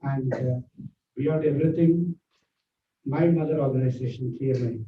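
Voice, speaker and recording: A man's voice: mid-range voice, moderate pace. An older man whose first language is Malayalam, giving an earnest, rambling speech of thanks at his book launch, with many fillers and restarts. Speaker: author speaking at book launch. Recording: echoey hall, distant mic, dull and noisy.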